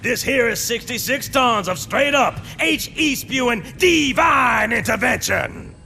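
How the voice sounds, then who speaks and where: An American man playing a gruff, fearless middle-aged Marine sergeant in a video game, gravelly and barking with theatrical drill-sergeant bravado. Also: very high voice, animated, a little slow, bright, forceful tone, faint music behind, full character voice. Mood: fiery motivational bluster. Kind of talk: video game cinematic acting.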